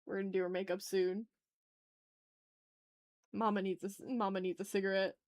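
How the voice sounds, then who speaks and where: A woman's voice: medium pitch, little pitch movement, moderate pace, darkish tone, dry recording, clean studio sound. A woman livestreaming as she draws, chatting casually in slang and muttering to herself with playfully dramatic, exasperated asides. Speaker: art livestreamer, host.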